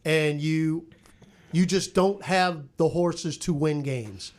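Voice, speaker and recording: Guy with a slightly high voice chatting on a sports podcast. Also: slow. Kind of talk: casual sports discussion.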